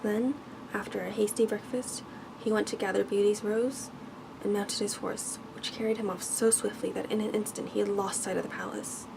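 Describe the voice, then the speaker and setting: Creaky-voiced woman reading a bedtime story. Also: medium pitch, steady tone, moderate pace. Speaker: ASMR storyteller. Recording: clearly noisy background ambience.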